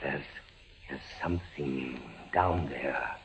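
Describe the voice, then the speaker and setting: An actor playing a tormented, fevered prisoner of the Inquisition in a radio horror drama, speaking in a halting, theatrical way. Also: male voice, extremely low pitch, a bit bright, slight background noise, exaggerated voice. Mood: fearful, faltering. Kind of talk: old-fashioned radio horror drama acting. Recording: dull lo-fi radio recording with music.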